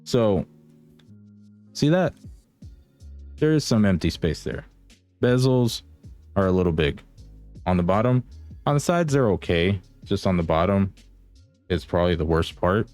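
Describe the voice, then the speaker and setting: A man's voice: low voice, sing-song, a little slow, pauses often, darkish tone, faint music behind. A man giving a casual gadget review, talking straight to viewers in an informal, slangy, playfully teasing way. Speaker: product reviewer.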